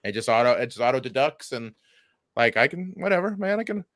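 Bright-voiced man in casual podcast chat. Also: medium pitch, big pitch swings, fairly quick, no room sound, clean studio sound. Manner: rambling, profane, joking. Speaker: podcast co-host.

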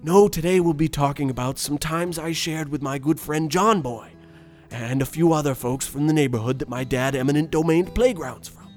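A man's voice: a little high, darkish tone, close to an everyday voice. Man playing a wistful old storyteller over guitar music. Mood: fond nostalgia. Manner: wistful, unhurried, genteel. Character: nostalgic, privileged, oblivious old man reminiscing about boyhood. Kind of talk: folksy radio storytelling. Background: plucked guitar music.

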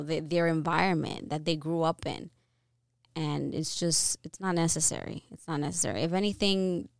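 A young woman co-hosting a casual podcast, earnest and opinionated, restarting her sentences often. Her voice is smooth and slightly low. The sound is close and dry, with no room around it. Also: no echo. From New York, US. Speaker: podcast co-host.

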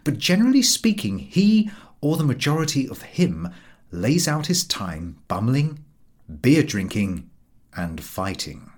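Male audiobook narrator, highly animated pitch, slightly husky voice, wry scripted literary reading. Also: a little slow.

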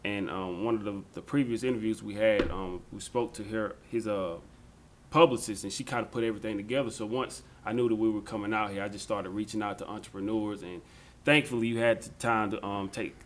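A man's voice: lowish voice, fairly even intonation, a little fast, slight background noise. A man hosting a podcast interview in a casual, chatty way, often saying "yes, sir" and using fillers like "um". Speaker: podcast host. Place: on location.

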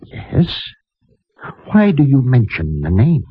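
An actor playing a kindly, righteous mission priest in a gentle, measured, formal manner, in a 1950s radio drama. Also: male voice, medium pitch, wildly swooping pitch, slightly rough, no echo, exaggerated voice. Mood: guarded, concerned. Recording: muffled, narrow-band vintage radio recording.

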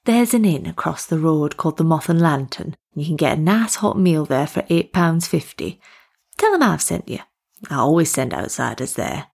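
A woman puts on an eccentric village tea lady for a storybook. She is chirpy, motherly and a little secretive, gently asking questions. The voice is husky with plenty of creak. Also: low voice, expressive, moderate pace, heavily acted. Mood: friendly, brisk. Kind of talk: acted storybook dialogue.

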